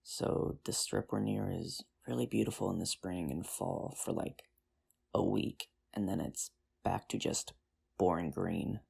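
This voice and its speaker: A performer in an ASMR roleplay playing a nerdy, earnest high school teacher, rambling with hesitant, self-deprecating charm in an intimate, conversational way. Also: fairly even intonation, a little slow, creaky, darkish tone, husky, exaggerated voice. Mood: mild grumbling.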